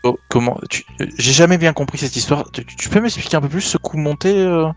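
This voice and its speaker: A man's voice: on the high side, lively intonation, fast, no room sound, faint music behind. A man playing a tabletop role-play in character, stammering often as he asks probing questions. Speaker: player.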